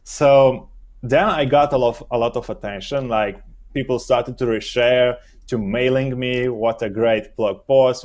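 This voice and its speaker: A young man whose first language is Romanian speaks slowly and haltingly, pausing often to find his words. He is answering questions in a relaxed interview, and his voice sits at an ordinary middle pitch. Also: a little hiss or hum. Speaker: guest, SEO expert.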